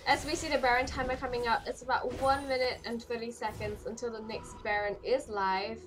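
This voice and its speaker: A woman doing solo live play-by-play of a game, hoarse and losing her voice, with quick calls that climb in the fights. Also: flat delivery, rough recording. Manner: rapid play-by-play that jumps higher in fights. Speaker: esports caster. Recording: clear music behind the voice.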